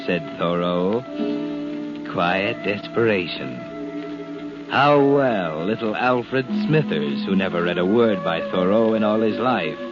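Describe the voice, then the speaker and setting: Low ominous radio mystery narrator guy, theatrical, music behind, muffled old broadcast. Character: mysterious, sinister night-walking male storyteller, all-knowing. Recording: dull 1940s radio broadcast recording.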